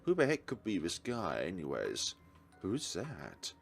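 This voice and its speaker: A man's voice: sits low, huge pitch swings, unhurried, vocal fry, soft background music, full character voice. A man voicing a bewildered young male detective in a visual novel, urgent, questioning and defiant. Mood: puzzled, frustrated.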